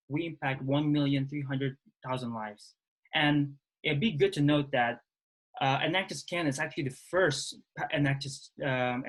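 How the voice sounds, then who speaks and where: A young man from Indonesia, a law student, hosting and introducing a webinar in a halting way with restarts. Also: medium pitch, fairly bright tone, husky, poor audio. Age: young adult. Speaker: webinar host, student society president.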